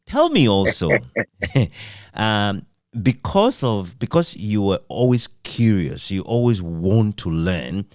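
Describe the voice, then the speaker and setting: A man conducting a reflective interview, phrasing his questions in a measured, deliberate way. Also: lowish voice, big pitch swings, darkish tone, no echo, clean studio sound. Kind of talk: reflective radio-history interview.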